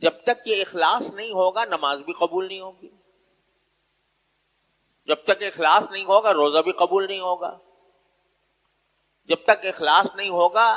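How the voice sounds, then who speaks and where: A man's voice: high voice, a bit bright. Male preacher in a reverberant hall. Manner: deliberate, pausing between short phrases. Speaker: Islamic preacher addressing listeners. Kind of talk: sermon, unscripted religious exposition. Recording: echoey hall with long reverb.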